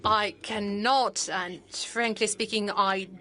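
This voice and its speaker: A woman interpreter giving a formal, measured simultaneous interpretation. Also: mid-range voice, steady tone, pushed and bright, slight background noise.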